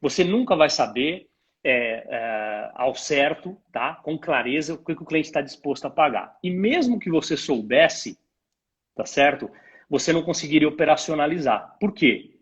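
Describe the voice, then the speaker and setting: Husky Brazilian man explaining conversationally. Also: on the high side, animated, moderate pace. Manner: didactic, illustrates points with imagined dialogues and rhetorical questions. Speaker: guest retail pricing expert. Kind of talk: conversational explanation to a live stream host.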